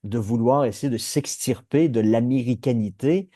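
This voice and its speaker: A man's voice: mid-range voice, moderate pace, mellow, dry recording, studio quality. A man with a Québécois French accent, hosting a political podcast and talking through his views with many restarts and hesitations. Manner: discursive, frequent restarts and hesitations. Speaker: podcast host. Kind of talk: analytical political discussion.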